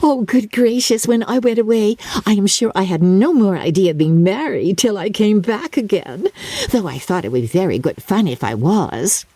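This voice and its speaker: A giddy young bride from a classic storybook, acted out by a woman narrator, pitched high and swooping with self-satisfaction. She gushes and boasts, the voice soft-edged rather than crisp. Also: expressive, normal speed, no echo, lightly acted. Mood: giddy, chattering. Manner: gushing, breathless exclamations, giggly.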